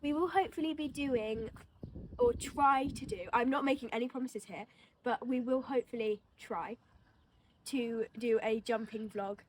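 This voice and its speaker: A woman's voice: a little high, normal speed, low-quality recording. Chatty young woman with a cold. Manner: chatty, rambling with many fillers. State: has a cold, runny nose. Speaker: horse riding vlogger. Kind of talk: casual vlog chat. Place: outdoor riding arena, windy. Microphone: camera mic, no wind cancelling. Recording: wind and outdoor noise.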